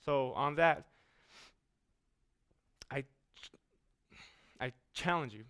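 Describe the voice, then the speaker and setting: A man's voice: medium pitch, a bit bright. Man who stutters, giving a stage talk. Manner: stutters often, with repeated sounds and words; earnest and deliberate. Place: stage in front of a live audience.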